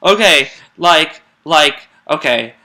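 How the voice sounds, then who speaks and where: A man's voice: a little high, expressive, takes it slowly, sharp tone. A young man co-hosting a casual fan radio chat, eager and rambling, often restarting his sentences. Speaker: podcast co-host.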